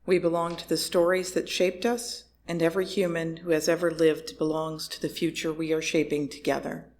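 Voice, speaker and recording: A lay reader giving a solemn, scripted liturgical reading in a measured, deliberate manner. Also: mid-range voice, level tone. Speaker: congregant reading chalice lighting words.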